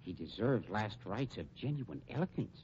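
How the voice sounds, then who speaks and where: An actor playing an unctuous, scheming funeral director in a 1950s radio drama, genteel and theatrical, with hesitant pauses and falsely wounded dignity. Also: male voice, on the low side, very animated, darkish tone. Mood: silky, grandiose. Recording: muffled, noisy old radio recording.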